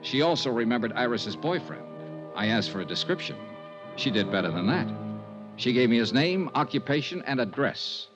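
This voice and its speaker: An actor playing a polite, wry hard-boiled insurance investigator who also narrates a 1950s radio drama, in a dry, courteous manner. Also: male voice, medium pitch, expressive, normal speed, music in the background, natural voice. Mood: dry, narrating. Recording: muffled 1950s radio recording.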